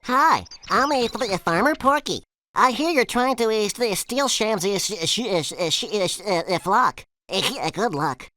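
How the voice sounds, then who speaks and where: A man's voice: high-pitched, lively intonation, pushed and bright, dry recording. A man voicing a timid, friendly, dim pig farmer in a cartoon video game, bumbling along with a heavy stutter. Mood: bumbling, helpful. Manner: hesitant, bumbling, halting. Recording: slightly noisy game audio capture.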